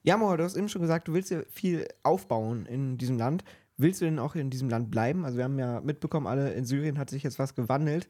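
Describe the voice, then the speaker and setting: Casting: male podcast interviewer, medium pitch, wordy conversational questioning. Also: quick pace, dark tone. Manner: wordy, rephrases answers as questions.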